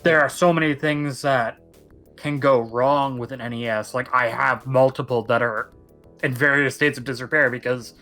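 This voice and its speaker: A man's voice: mid-range voice, fairly even intonation, normal speed, bright, forceful tone, soft background music. A young man co-hosting a casual podcast, rambling and emphatic as he talks at length. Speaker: podcast co-host. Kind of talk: casual chat.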